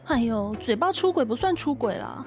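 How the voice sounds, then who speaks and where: A woman's voice: a little high, lively intonation, normal speed, faint music behind, lightly acted. A woman voicing a playful, chatty married female office worker. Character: married female office worker who flirts with her eyes.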